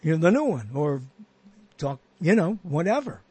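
Husky, rambling male podcast host. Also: a little high, sing-song, moderate pace, a little mellow, no echo. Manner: rambling, many restarts and trailing thoughts. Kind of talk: casual interview chat.

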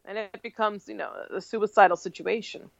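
A woman's voice: medium pitch, fairly even intonation, fairly quick, creaky, dry recording, dull audio. A middle-aged woman from New York co-hosting in casual chat, blunt and sardonic, swearing freely. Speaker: co-host of a talk show.

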